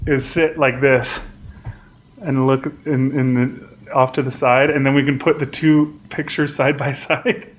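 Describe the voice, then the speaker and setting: A man's voice: mid-range voice, flat delivery, a little slow. A man co-hosting a podcast in casual chat at home, talking in a rambling, easygoing way and laughing often. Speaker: podcast co-host. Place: home room with plate on wall.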